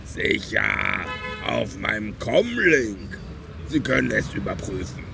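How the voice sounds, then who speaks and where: A man acting a gravelly, drawling drunken vagrant creature. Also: fairly low pitch, sing-song, slow pace, bright, forceful tone, soft background music, full character voice. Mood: feigned politeness. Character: drunk non-human vagrant man, slurring, mocking, bitter. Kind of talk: German audio drama acting.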